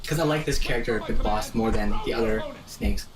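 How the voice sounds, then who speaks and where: A man's voice: fairly low pitch, a little fast, a crowd in the background. A man in casual gaming commentary, explaining things to friends in an enthusiastic, informal, slangy way. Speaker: host explaining the game to friends.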